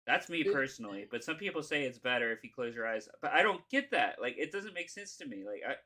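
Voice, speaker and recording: Bright-voiced man, chatty podcast co-host. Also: mid-range voice, lively intonation, fast talker. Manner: chatty, opinionated, talks over others. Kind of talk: casual chat.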